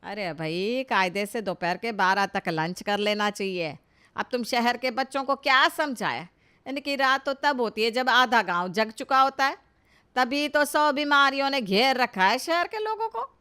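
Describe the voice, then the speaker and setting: A woman acts out an older village mother-in-law for an animated family story. Her voice is high and sharp, with a forceful edge. She nags and complains with heavy sarcasm, as a cartoon comedy scold. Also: normal speed, clean tone.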